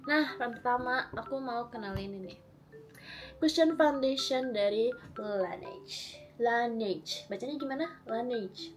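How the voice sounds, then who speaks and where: A young woman in her twenties, slightly hoarse from a recent cold, chatting casually and rambling with lots of fillers and giggles while she does her makeup at home. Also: on the high side, unhurried, faint music behind. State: recovering from a cold. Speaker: beauty vlogger. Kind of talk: casual makeup vlog chat.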